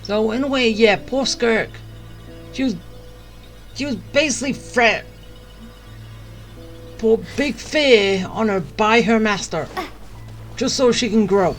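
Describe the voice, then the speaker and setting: Streamer mumbling thoughts on a game story, super slow casual talk, bit of music behind. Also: medium pitch. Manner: musing, fragmented remarks. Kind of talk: casual playthrough commentary.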